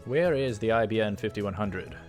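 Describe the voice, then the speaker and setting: Guy playing stern bossy young man in a visual novel, kinda low voice, thinks a lot of himself, quiet music. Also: moderate pace, close to an everyday voice. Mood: stern, demanding. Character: stern, threatening, self-important young man.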